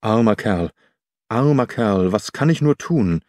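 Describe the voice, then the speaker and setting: A man acts out a shaken male maths teacher in an audiobook story. He is a reserved man who pleads haltingly and repeats himself. The sound is dry, with no room echo. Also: fairly low pitch, lively intonation, a little mellow.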